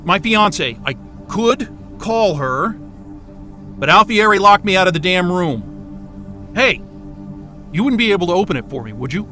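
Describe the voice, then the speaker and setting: A man's voice: high-pitched, unhurried, pauses often, faint music behind, full character voice. A man playing a frantic, hot-tempered, impatient stranded man in a naturalistic audio drama. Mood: urgent, exasperated. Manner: excitable, exasperated, muttering and cursing.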